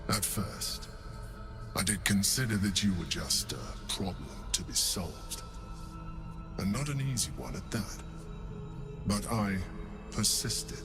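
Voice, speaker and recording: An actor playing a calm, calculating mind flayer in a video game, courteous, formal and measured. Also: male voice, lowish voice, lightly acted. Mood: cool persuasion. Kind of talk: dramatic video game dialogue.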